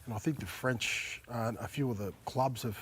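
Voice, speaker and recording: Relaxed man chatting on a panel. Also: medium pitch, moderate pace, slight background noise. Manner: relaxed, says mate often, many fillers. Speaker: former player guest. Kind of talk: casual panel chat.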